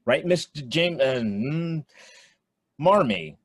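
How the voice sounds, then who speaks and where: A man's voice: fairly high pitch, animated, slow, breathy edge, full character voice. A man playing an eager, slightly awkward teenage computer geek in a radio play, talking in enthusiastic slang. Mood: earnest, keen.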